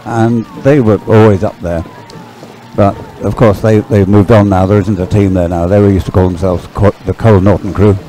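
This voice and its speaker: A man's voice: fairly low pitch, steady tone, normal speed, mellow, slight background noise, rough recording. A man giving chatty, unscripted live sports commentary, often hesitant and thinking aloud as he goes.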